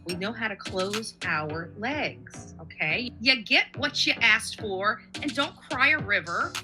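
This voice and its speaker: A woman's voice: mid-range voice, lively intonation, takes it slowly. A woman on a blunt, scolding livestream rant. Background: loud music.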